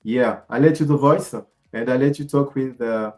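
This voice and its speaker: A man moderating an online event over a video call, halting and filling pauses with many fillers. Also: mid-range voice, warm tone. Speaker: host and moderator.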